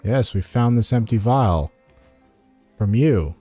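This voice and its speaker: A man's voice: on the low side, expressive, slow, mellow. A man giving a casual video game walkthrough, thinking aloud and chatting to the characters as he plays. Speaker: gaming guide host. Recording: music behind the voice.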